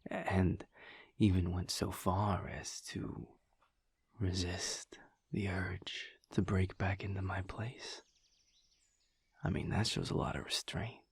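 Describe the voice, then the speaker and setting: A man performs a guarded, teasing male lover in an intimate audio roleplay. His low voice is very husky and breathy, often sinking toward a whisper. He takes his time, leaving hesitant gaps between phrases. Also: level tone, exaggerated voice. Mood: dry, probing. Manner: slow, teasing, hesitant pauses.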